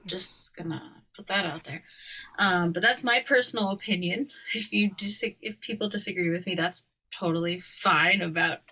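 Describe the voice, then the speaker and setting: A woman's voice: lowish voice, normal speed, pushed and bright, husky, rough recording. A woman from Hawaii chatting casually as a podcast co-host, opinionated and slangy. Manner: opinionated, slangy, often laughing. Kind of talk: casual conversational chat.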